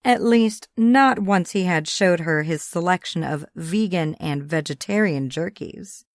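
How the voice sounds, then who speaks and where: A woman's voice: lowish voice, a little slow, no room sound, clean studio sound. A woman reading a comic novel as a scripted audiobook narration.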